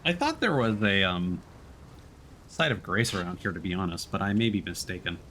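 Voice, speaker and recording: A man's voice: low-pitched, very animated, normal speed, a bit bright, slight background noise. A man livestreaming a video game, chatting casually and in a friendly way with his viewers. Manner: friendly, addresses chat viewers by name. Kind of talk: casual chatty stream talk while playing.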